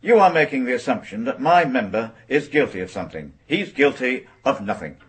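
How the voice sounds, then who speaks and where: An actor playing a protective, indignant railway union representative with a husky voice, formal and emphatic, in a radio drama. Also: male voice, mid-range voice, big pitch swings, a little fast, fairly bright tone, heavily acted. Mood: indignant, defensive. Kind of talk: naturalistic radio drama acting.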